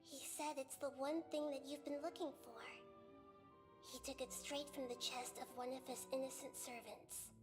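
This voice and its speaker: A woman voicing a gentle, wise female goddess in a video game, telling the story in a calm, measured way. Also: sits high, over music, natural voice. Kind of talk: video game voice acting, narration.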